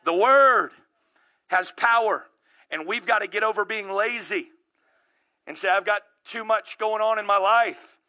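A man in his thirties preaching a sermon with rising passion, calling out to the congregation and building to shouts. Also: high-pitched, a little slow. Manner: calls out to the congregation.

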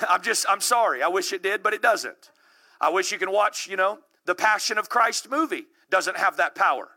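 A man in his thirties preaches with fervor, pushing up into shouts and calling out to the congregation. His voice sits high for a man. It has a very bright, ringing tone. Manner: impassioned, builds to shouting; calls out to the congregation. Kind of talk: sermon.

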